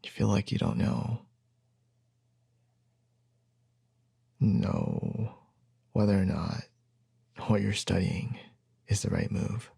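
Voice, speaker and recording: A man playing a gentle, reassuring young boyfriend in an intimate ASMR roleplay, speaking softly and tenderly, often close to a whisper, with hesitant pauses. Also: low-pitched, huge pitch swings, exaggerated voice. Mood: gentle, sympathetic. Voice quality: soft, breathy, husky, often near a whisper.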